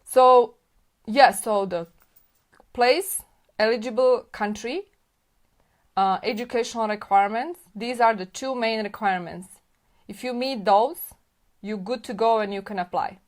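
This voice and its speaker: A woman's voice: a little high, a little slow, long pauses. A woman, an immigration consultant, hosting a live stream and answering viewer comments in an informal, chatty way, with frequent fillers and self-corrections.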